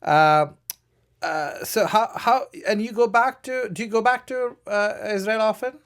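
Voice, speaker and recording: A man with a slightly high voice hosts a conversational interview. He asks his questions haltingly, often starting them over and rewording them as he goes. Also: fairly bright tone. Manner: halting, restarts questions repeatedly. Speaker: talk show host.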